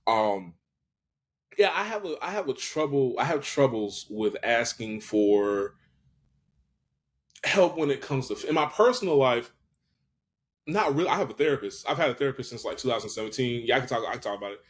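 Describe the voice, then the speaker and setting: A man's voice: mid-range voice, animated, normal speed, pauses often. Hesitant, thoughtful man in his twenties. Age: 20s. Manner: hesitant, thoughtful, frequent restarts and fillers. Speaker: podcast guest. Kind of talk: casual reflective interview talk.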